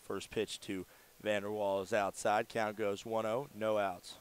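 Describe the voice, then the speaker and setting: A man doing live radio play-by-play commentary of a baseball game, in a measured delivery that lifts for the action. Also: lowish voice, level tone, clean tone.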